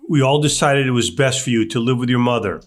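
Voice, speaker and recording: A man reading the part of a weary father who tries to calm his son and wife in a table read. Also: mid-range voice, fast, natural voice. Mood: stern. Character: weary older father, firm and placating.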